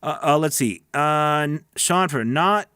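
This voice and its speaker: A man's voice: fairly high pitch, expressive, slow pace, bright, forceful tone, clear, resonant tone, dry recording, studio quality. A man hosting a voice-over training livestream, chatting casually with viewers and answering their comments in an encouraging, emphatic, conversational way.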